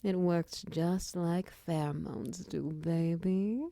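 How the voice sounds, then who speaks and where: A woman voicing a muscular female minotaur wizard who runs a potion shop, in a teasing, commanding roleplay ASMR style, with a breathy voice that slips into whispers. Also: lowish voice, fairly even intonation, takes it slowly, warm tone, no echo. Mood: seductive, teasing. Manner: intimate, teasing, commanding, with pet names. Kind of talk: roleplay ASMR audio drama.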